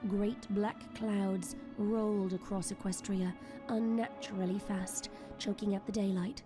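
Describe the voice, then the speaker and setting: A woman's voice: mid-range voice, level tone, unhurried. A woman reading scripted, dramatic story narration as the narrator of an audio drama. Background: soft music.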